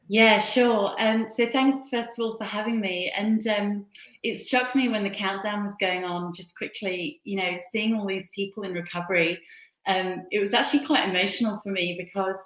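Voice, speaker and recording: A woman's voice: mid-range voice, steady tone, moderate pace, fairly bright tone. A woman from Switzerland, a guest on an interview, telling her own recovery story in an open, reflective way. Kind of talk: candid personal recovery story. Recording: roomy echo.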